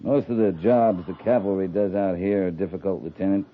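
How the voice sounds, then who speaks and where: An American man playing a seasoned, calmly stern cavalry captain in a 1950s radio drama, speaking in a measured, clipped, authoritative way. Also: sits low, close to an everyday voice. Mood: sober, measured. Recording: muffled, noisy 1950s radio recording.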